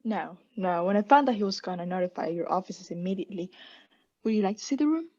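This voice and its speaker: A young woman playing a guilty, unhinged caretaker in a radio play, breathy and often whispering, with halting, insistent self-justification. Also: medium pitch, expressive, mellow, highs cut off. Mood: nervous politeness. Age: young adult. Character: guilty, unhinged caretaker, anxiously feigning calm politeness. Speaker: student actor.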